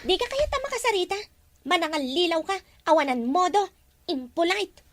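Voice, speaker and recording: A woman's voice: very high-pitched, sing-song, moderate pace, no echo. A woman voicing a young female student, hurt and stubbornly aggrieved, in an audio drama. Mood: annoyed, stubborn. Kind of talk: Filipino audio drama dialogue in Ilocano.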